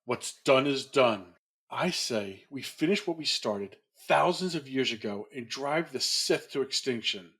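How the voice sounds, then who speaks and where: A man playing a militant, unyielding warmonger with a husky voice in an audio drama. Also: mid-range voice, expressive, unhurried, full character voice. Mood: hardline, resolute. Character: militant, unyielding male warmonger set on war.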